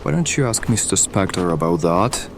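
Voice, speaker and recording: An actor playing a pragmatic, seasoned survivalist hireling in an audio drama, matter-of-fact and instructive. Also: male voice, low-pitched, lively intonation, moderate pace, vocal fry, background noise. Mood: instructive, unruffled.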